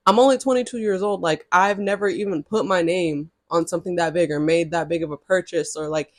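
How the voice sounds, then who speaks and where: A woman's voice: fairly low pitch, normal speed, no echo, studio quality. A woman in her early twenties telling a storytime to her viewers in a chatty, sassy way, with frequent direct asides. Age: early 20s. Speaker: vlogger. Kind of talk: casual storytime to camera.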